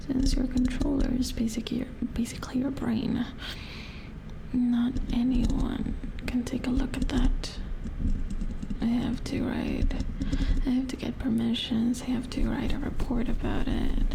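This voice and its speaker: Slow whispering woman in ASMR roleplay. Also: fairly high pitch, creaky, low-quality recording. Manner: gentle, hesitant, murmuring to the listener. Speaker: ASMR roleplayer playing a robot repair engineer.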